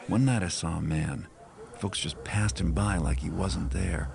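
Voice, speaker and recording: Low, gravelly cynical thief. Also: male voice, fairly even intonation, measured pace, crowd noise, heavily acted. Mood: wry, reflective. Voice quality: gravelly, creaky. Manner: wry, understated. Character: cynical adult master thief narrating his past. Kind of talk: scripted first-person narration. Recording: music under the voice.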